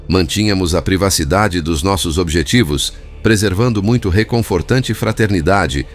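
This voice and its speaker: A Brazilian man reading a spiritist book as scripted narration, in a formal, measured manner. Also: low voice. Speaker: audiobook narrator. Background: background music.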